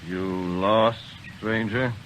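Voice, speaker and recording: A man's voice: lowish voice, steady tone, dull audio. A man acting a big, mean, suspicious Texan outlaw in a 1950s radio western. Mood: wary. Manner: slow, suspicious. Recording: noisy old radio recording.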